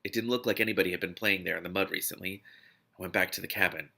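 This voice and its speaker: A man reading a novel aloud expressively as host, animated and given to breaking off with excited reactions. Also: low-pitched, racing, vocal fry. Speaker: host of a read-aloud book series.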